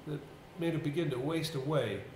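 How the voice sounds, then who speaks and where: A grave village man, played by a male stage actor, telling of a haunting in a fairly high voice. His delivery is solemn and halting, as in a stage play, and the room echoes around him with faint noise behind. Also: darkish tone, close to an everyday voice. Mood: grim, haunted. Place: indoor theatre stage.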